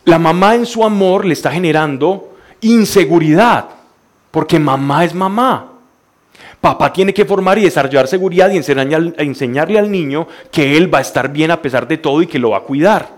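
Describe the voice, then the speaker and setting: A man's voice: a little high, normal speed. A man, likely in his thirties, giving a motivational talk in an emphatic, didactic way, repeating phrases for stress.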